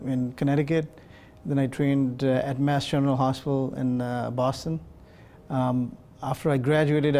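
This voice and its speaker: Mellow-voiced man explaining with many fillers. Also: medium pitch. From Pakistan. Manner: measured, explanatory, frequent uh and um fillers. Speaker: urologist guest. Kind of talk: studio interview answers. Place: television studio set. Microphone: lapel mic.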